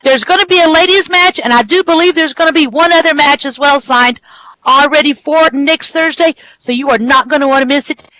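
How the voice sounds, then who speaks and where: A lively wrestling commentator who calls the action and counts the pins aloud. The voice is high and bright, recorded dry and close. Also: a little fast, dry recording, poor audio. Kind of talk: play-by-play commentary.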